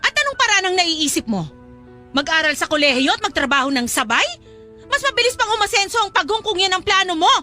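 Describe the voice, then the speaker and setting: A woman's voice: very high-pitched, sing-song, fairly quick, sharp tone, soft background music, full character voice. A woman playing a domineering mother in a radio drama, nagging and cutting in her delivery. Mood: angry scolding. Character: domineering, scolding mother pushing daughter toward money. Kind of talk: Tagalog radio drama acting.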